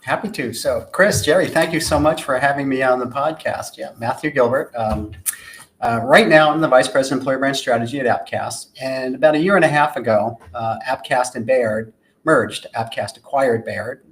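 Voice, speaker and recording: A soft-spoken man who calls himself a low talker, giving measured, articulate answers as a podcast guest. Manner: measured and articulate, lists points. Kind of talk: interview answers.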